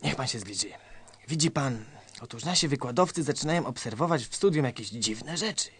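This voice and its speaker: Husky, conspiratorial colonel. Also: male voice, mid-range voice, expressive, brisk, fairly bright tone, no room sound. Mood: grave, alarmed. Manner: confiding, melodramatic. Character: nervous, conspiratorial male colonel, paranoid and grave. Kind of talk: satirical radio sketch acting.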